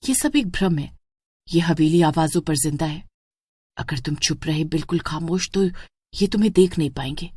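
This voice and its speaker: A woman's voice: deep voice, expressive, normal speed, dark tone, slightly rough, no echo, studio quality. A woman voicing a young woman's trapped spirit in a horror story, warning urgently and pleading. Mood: urgent, desperate.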